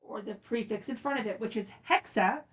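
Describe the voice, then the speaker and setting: A woman's voice: a little high, brisk, far from the mic, lo-fi sound. A woman teacher giving an informal step-by-step lesson, speaking directly and encouragingly to the learner. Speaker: teacher and channel host.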